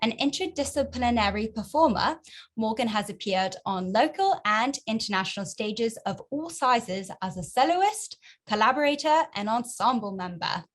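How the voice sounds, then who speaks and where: Slightly high-voiced female moderator. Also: expressive. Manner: measured, formal. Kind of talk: reading introductions.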